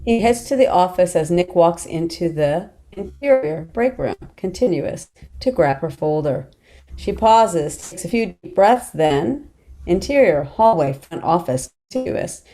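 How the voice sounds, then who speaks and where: A woman reading scene headings and stage directions at a table read in an even, plain delivery. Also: on the low side, unhurried. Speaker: narrator.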